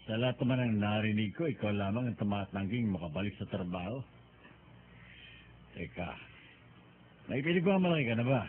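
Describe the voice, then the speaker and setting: A man's voice: mid-range voice, lightly acted. A man acting in a radio drama as a stern, grasping elderly father, badgering and dismissive. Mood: suspicious. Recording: tinny, slightly noisy radio drama recording.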